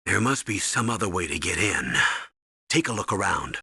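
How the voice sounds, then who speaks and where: A man's voice: sits low, lively intonation, moderate pace, vocal fry, sharp tone, slightly rough, dry recording, studio quality, full character voice. A man playing a stern older American military colonel in a video game, giving clipped, authoritative mission briefings. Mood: instructive.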